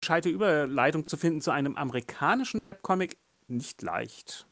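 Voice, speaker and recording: A man joins a casual podcast chat with an animated, swooping voice. He jokes around and searches aloud for the right word as he goes. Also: on the high side, moderate pace. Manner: lively, joking, searches for words.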